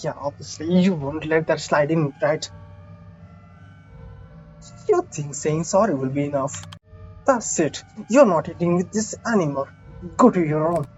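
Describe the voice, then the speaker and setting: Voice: medium pitch, a little slow, pauses often, a little mellow, muffled recording, faint music behind, lightly acted. A game streamer voicing an easily offended lady dinner host in a visual novel, theatrical and scolding.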